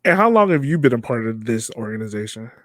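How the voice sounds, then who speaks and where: A man's voice: medium pitch, big pitch swings, fast, dry recording, studio quality. A man with an American accent hosting a casual podcast interview. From Richmond, Virginia, United States.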